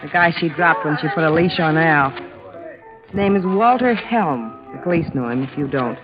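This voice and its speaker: A cool, sardonic young radio dame. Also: female voice, deep voice, expressive, moderate pace. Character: cynical, worldly young woman, guarded and bitter. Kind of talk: 1950s radio drama acting. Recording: dull lo-fi old radio broadcast with music.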